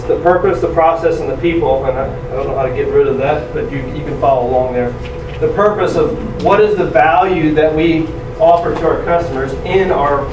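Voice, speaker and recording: A man in his forties giving a loud, energetic, self-deprecating presentation to a conference audience. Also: fairly high pitch. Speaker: conference speaker. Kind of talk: presentation talk. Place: large conference room. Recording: echoey room from far off, noisy.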